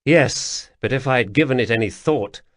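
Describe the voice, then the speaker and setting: A man's voice: medium pitch, normal speed, a bit bright, dry recording, clean studio sound, close to an everyday voice. A man voicing an imperious young prince who speaks in a commanding, clipped way. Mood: shaken.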